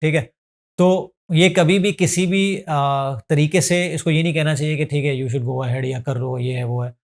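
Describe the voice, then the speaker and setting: A man's voice: a little high, fairly even intonation, a little slow. A man, a gym trainer giving earnest fitness advice in a podcast, mixing in English phrases. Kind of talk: podcast interview answers.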